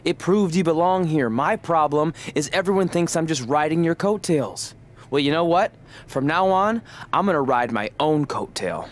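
A man's voice: fairly high pitch, moderate pace, close to an everyday voice. A man playing an earnest young wrestler. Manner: earnest, declamatory ring speech. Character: young male wrestler, eager and determined. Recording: game audio with steady background noise.

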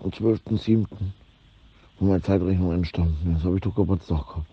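A man's voice: low voice, fairly quick, slightly rough. A middle-aged man, delivering a rambling, repetitive informal monologue in slangy language. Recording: dull lo-fi recording.

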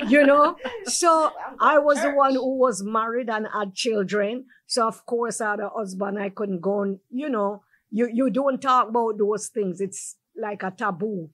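A woman's voice: fairly high pitch, a little slow. An older woman from Jamaica with a Jamaican accent, a guest telling her life story in a group chat and laughing as she goes. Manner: lively, laughs as she tells it.

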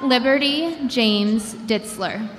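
A woman reading graduates' names one by one at a commencement ceremony, announcing each name with a measured pause through a podium microphone. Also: on the high side. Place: large indoor gymnasium. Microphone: podium microphone over a PA system. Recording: long hall reverb with crowd noise. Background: crowd ambience.

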